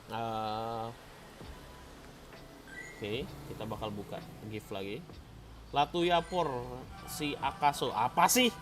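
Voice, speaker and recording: A man's voice: medium pitch, fairly even intonation, slow pace, pauses often, background noise. A young man from Indonesia with an Indonesian accent, chatting casually on a live game stream, switching between slang and English and reacting playfully to viewers. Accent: Indonesian, Palembang. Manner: playful, reads and reacts to chat. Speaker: gaming streamer. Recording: music playing underneath.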